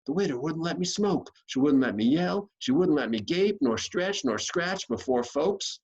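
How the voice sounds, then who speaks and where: A man's voice: on the high side, lively intonation, normal speed, a little mellow, lo-fi sound, pitched above the performer's own voice. A man voicing a disgruntled, untamed country boy in a storybook, complaining in a folksy drawl. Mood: exasperated complaint. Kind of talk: storybook character voice.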